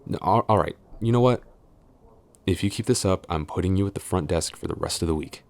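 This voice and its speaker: A man's voice: deep voice, brisk, vocal fry, darkish tone. A man playing a flustered tsundere boyfriend and office supervisor in an intimate audio roleplay, stammering, exasperated and defensive as he scolds.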